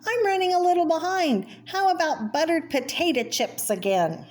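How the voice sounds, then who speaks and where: A woman's voice: very high-pitched, lively intonation, moderate pace, voice pushed up. A woman voicing a busy, flustered, apologetic farm wife from a children's picture book cartoon. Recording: clear background noise.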